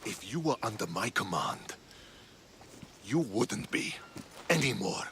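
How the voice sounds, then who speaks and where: An actor playing a stern, disapproving Spartan general with a gravelly voice. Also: male voice, fairly low pitch, very animated, slow, a bit bright, slight background noise, exaggerated voice.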